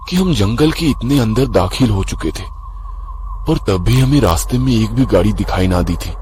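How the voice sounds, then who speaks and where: A low-pitched man tells a scripted horror tale in the first person as narrator. Faint ambient noise runs behind his deep voice. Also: a little mellow. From Maharashtra, India.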